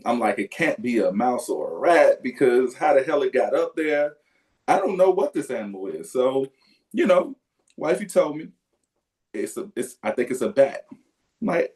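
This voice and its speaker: A man casually telling a profane, animated story as a podcast co-host. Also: medium pitch. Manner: animated, profane, loud yelps when acting out.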